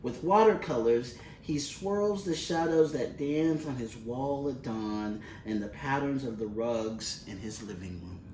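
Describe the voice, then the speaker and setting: A man reading a children's storybook aloud expressively, in measured, deliberate phrases with pauses. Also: medium pitch, darkish tone. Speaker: picture book reader. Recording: roomy, distant mic with faint noise.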